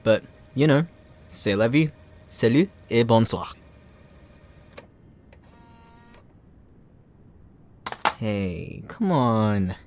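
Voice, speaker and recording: A man's voice: mid-range voice, lively intonation, slow pace, a little mellow. A man playing a gloating, sarcastic supervillain who taunts a captive hero in a mocking, playful, theatrical way, in a roleplay audio drama.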